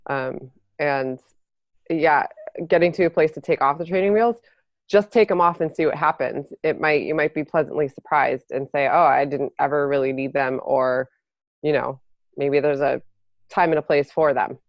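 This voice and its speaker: Low-voiced woman, dull lo-fi sound. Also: steady tone, normal speed, no room sound. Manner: thoughtful, many ums. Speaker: podcast co-host. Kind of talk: explaining, casual chat.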